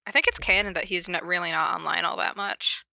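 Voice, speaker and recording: A woman's voice: fairly low pitch, steady tone, a little fast, gravelly, bright, forceful tone, dry recording, clean studio sound. A woman hosting a podcast in a casual, giggly, self-mocking and informal way, introducing readings. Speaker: podcast host introducing fan fiction readings. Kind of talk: casual chat with read-out summaries.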